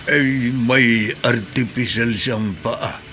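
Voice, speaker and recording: A man's voice: mid-range voice, fairly even intonation, very slow, pushed and bright, slight background noise. An actor playing a regretful, bitter older man in a radio drama, speaking heavily. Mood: somber.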